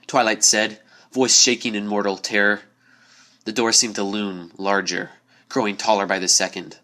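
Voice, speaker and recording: A man's voice: on the low side, level tone, normal speed, pushed and bright. A man reading a scripted story aloud as narrator, in a dramatic storytelling style.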